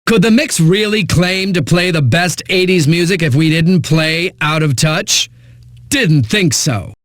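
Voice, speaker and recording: An actor playing a breezy, smug radio DJ in a video game, delivering hyped, sardonic patter between eighties songs. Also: male voice, a little high, a little slow, a bit bright, no room sound, studio quality. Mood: glib, upbeat.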